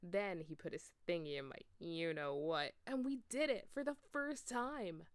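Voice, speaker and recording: A woman reading a story aloud in an expressive, theatrical way. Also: on the low side, a little slow, clear, resonant tone, no room sound. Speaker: amateur fanfiction reader.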